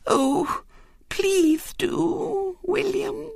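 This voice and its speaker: Really high sweet bossy little girl from a kids story, wheedling, swoopy. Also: sing-song, unhurried, fairly bright tone, dry recording, studio quality. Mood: tearful pleading.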